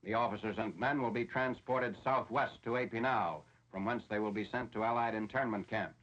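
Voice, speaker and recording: A man's voice: fairly low pitch, fairly even intonation, normal speed, fairly bright tone. An American man narrating a 1940s military newsreel in a formal, matter-of-fact way. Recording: dull, lo-fi 1940s film soundtrack.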